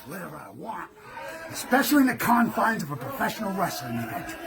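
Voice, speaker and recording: High-pitched brash American wrestler. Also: male voice, very animated, brisk, creaky. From United States. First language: English. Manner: brash, profane, boastful. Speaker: pro wrestler. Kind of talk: wrestling promo. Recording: loud background noise.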